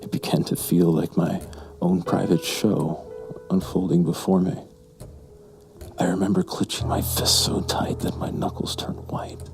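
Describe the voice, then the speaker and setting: A man playing a brooding, lustful, menacing narrator in a horror audio drama, with slow, deliberate, breathy delivery. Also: sits low. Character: brooding, lustful, menacing male narrator recounting a dark memory.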